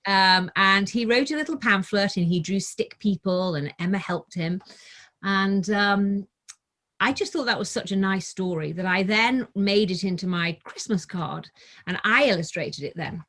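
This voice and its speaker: A woman's voice: medium pitch, moderate pace, a bit bright. A middle-aged woman who is a children's author, telling and reading a story for young listeners. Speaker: guest author reading her children's book. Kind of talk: casual storytelling, then read-aloud of a picture book.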